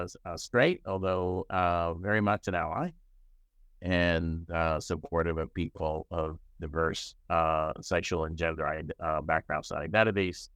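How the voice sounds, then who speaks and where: This is an older man from Cuba with a very low, deep voice. His tone is smooth and resonant, recorded dry and close with no room echo. He speaks in a halting, casual way, with frequent fillers and restarts. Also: a bit bright. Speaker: child psychiatrist, professor. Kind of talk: casual personal interview.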